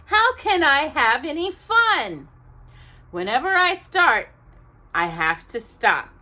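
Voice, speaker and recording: A childlike little locomotive played by a woman reading a storybook speaks in a raised, very animated voice. The young engine is eager and easily frustrated, putting great emphasis on its exclamations. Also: sits high, slow, pitched above the performer's own voice, heavily acted. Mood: frustrated, muttering.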